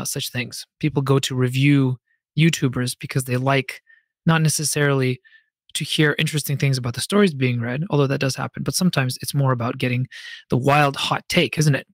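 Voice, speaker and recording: A man's voice: medium pitch, no echo, clean studio sound. A man hosting a podcast, delivering a prepared monologue with conversational asides and speaking expressively, like a storyteller, straight to listeners. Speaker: podcast host and fantasy author.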